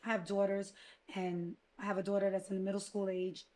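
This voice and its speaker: A woman's voice: flat delivery, fairly quick, a little mellow. A woman co-hosting a podcast while losing her voice, speaking earnestly in long run-on thoughts. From Connecticut, United States. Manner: earnest, talks in run-on thoughts, lowers her voice on serious points. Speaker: podcast co-host. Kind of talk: casual podcast conversation.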